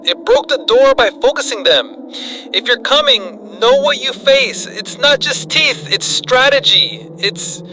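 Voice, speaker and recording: A man's voice: very high-pitched, expressive, a little slow, background noise, pitched above the performer's own voice. A man voicing a desperate, defiant woman survivor making an urgent final broadcast in short, clipped declarations, in a radio horror drama. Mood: grim, urgent defiance.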